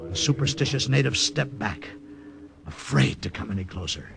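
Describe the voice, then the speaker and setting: An actor playing a brash, wisecracking American agent and magician in an old radio drama, with showy theatrical patter. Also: male voice, medium pitch, lively intonation, normal speed, vocal fry, fairly bright tone. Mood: wry boasting. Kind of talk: 1950s radio drama acting. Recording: old radio recording with faint music.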